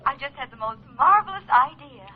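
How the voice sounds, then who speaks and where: Coy, coaxing young lady, highish voice, gushing, muffled vintage radio comedy recording. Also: animated, fairly quick. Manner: effusive, coaxing. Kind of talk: 1940s radio comedy acting. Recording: muffled, noisy old radio, telephone scene.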